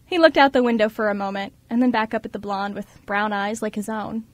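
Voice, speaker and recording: A woman's voice: medium pitch, a little fast, no echo. A young woman on a student radio show, speaking casually and narrating scripts. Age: young adult. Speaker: board operator and narrator. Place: radio studio.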